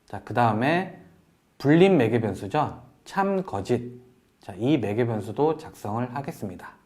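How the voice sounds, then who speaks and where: A man presenting a software tutorial in a polite, explanatory way, walking through each step as an instructor. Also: medium pitch, lively intonation, unhurried. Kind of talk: software tutorial narration over screen demo.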